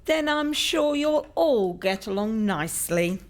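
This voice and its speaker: Woman acting sharp-tongued servant, fairly high, animated intonation, wry, audio drama. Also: a little slow, a little hiss or hum. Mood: wry, brisk. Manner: tart, wry.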